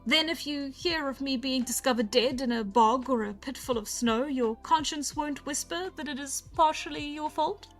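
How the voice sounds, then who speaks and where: A woman voicing an earnest, fussy, indignant gentleman traveller in a storybook, formal and exclamatory. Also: high voice, moderate pace, few pauses, faint music behind, voice pushed up, close to an everyday voice. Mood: earnest pleading.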